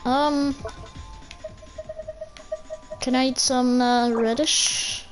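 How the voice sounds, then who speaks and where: A woman's voice: high voice, fairly even intonation, drawn-out words. A woman doing casual gameplay commentary in short, playful reactions. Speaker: gamer, channel host. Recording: loud game music behind voice.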